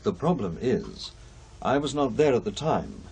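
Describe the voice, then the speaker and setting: An actor playing a formal, cautious and inquisitive paranormal investigator in a radio play, speaking with careful precision. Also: male voice, medium pitch, huge pitch swings, a little slow, creaky, a crowd in the background, full character voice. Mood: precise, cautious. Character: measured, inquisitive male paranormal investigator, a widower.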